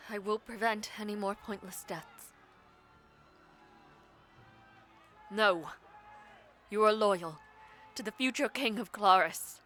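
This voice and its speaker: A woman playing a proud, powerful mage villainess in an audio drama, speaking in a formal, imperious and deliberate manner. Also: medium pitch, fairly bright tone. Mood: firm, commanding. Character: proud powerful female mage, commanding, vengeful, self-sacrificing villainess. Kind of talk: dramatic audio roleplay acting.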